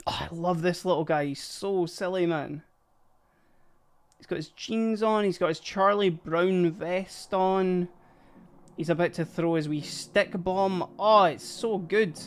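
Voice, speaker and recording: A live-streaming miniature painter chatting casually and giggling a lot while painting, a little hoarse from laughing. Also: medium pitch, a little slow. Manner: chatty, giggly, rambling, addresses chat.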